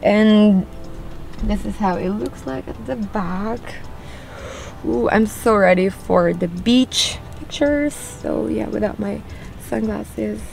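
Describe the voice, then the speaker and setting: A woman's voice: mid-range voice, takes it slowly, vocal fry, darkish tone, poor audio. A young woman chatting upbeat to her phone camera in a parked car, with many fillers. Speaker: vlogger. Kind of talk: casual vlog chat to camera. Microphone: phone held at arm's length.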